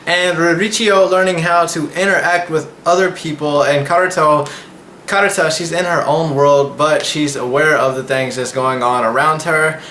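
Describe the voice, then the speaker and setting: A man in his twenties gives a casual, slangy vlog recap. His voice sits a little high and is bright and forward. The room is echoey, and he rambles loosely through his review. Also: steady tone, moderate pace. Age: 20s. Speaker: anime reviewer and channel host. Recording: echoey room with faint background noise.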